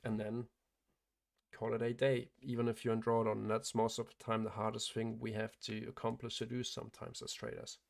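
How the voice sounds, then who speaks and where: Male trading streamer, hoarse and gravelly, flat matter-of-fact delivery, frequent throat clearing. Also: lowish voice, a little fast. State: hoarse, losing his voice. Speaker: day trader hosting a livestream. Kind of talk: live trading commentary.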